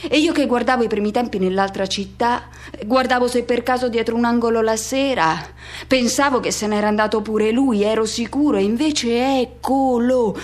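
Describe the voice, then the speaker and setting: Woman acting lonely haunted middle aged guy, radio drama monologue, introspective and colloquial, voice on the high side. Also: close to an everyday voice. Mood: stunned, bitter. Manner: colloquial, introspective, halting repetitions.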